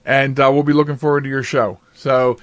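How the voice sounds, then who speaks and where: A man's voice: medium pitch, little pitch movement, brisk, fairly bright tone, no echo. A man hosting a podcast, chatting casually with a guest in a chatty, rambling way. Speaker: podcast host. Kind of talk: casual interview chat.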